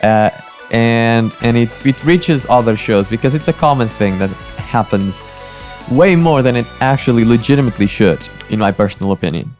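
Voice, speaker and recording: A man giving casual, halting reaction commentary, often repeating words as he thinks. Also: medium pitch, animated, a little mellow, faint music behind. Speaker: reaction video host.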